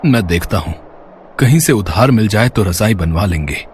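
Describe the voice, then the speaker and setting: A man playing a poor, caring cobbler and father in an animated story, explaining things plainly and earnestly. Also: fairly low pitch, normal speed, a little hiss or hum, lightly acted. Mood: resigned.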